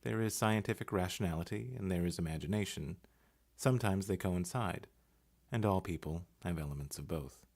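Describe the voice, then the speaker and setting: Man, very deep voice, husky texture, measured hushed narration, reading essay and poetry. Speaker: voice-over artist.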